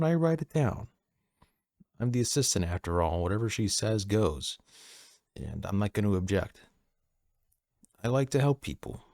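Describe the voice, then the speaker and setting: A man playing a friendly, easygoing personal assistant at a manor in an audio roleplay, soft-spoken, casual and a little hesitant. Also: on the low side, creaky, no echo, studio quality. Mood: earnest, agreeable.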